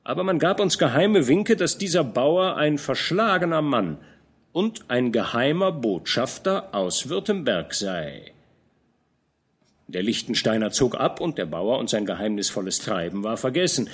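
A man voicing a shrewd, fatherly older field captain who speaks in a knowing, admonishing way, with lively pitch. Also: unhurried, slightly rough, exaggerated voice. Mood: probing.

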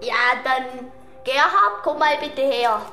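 Woman as a self-pleased male professor, high and lively, recorded in an echoey room. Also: slow pace, faint music behind, full character voice. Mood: chirpy. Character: cheerful, boastful male professor.

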